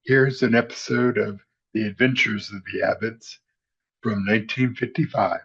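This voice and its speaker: A man's voice: on the low side, fairly even intonation, moderate pace, fairly bright tone, breathy edge, highs cut off. A male podcast host reading scripted encyclopedia-style narration.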